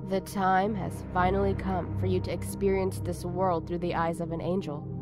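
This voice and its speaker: A woman's voice: lowish voice, little pitch movement, natural voice. Composed female android played by a woman. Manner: measured, formal, instructive. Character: calm female android caretaker, formal and protective. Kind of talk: sci-fi visual novel voice acting. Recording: music behind the voice. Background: background music.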